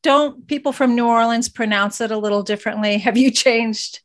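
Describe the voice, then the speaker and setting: A woman's voice: on the high side, moderate pace. A woman from Texas hosting an interview podcast, reading a scripted intro and then chatting with a guest. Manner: reads intro evenly, then chatty and laughing. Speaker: podcast host.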